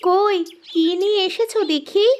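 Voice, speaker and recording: A woman voicing a feisty, sharp-tongued younger village wife in cartoon dialogue. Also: very high voice, moderate pace, slight background noise. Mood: curious, pleased.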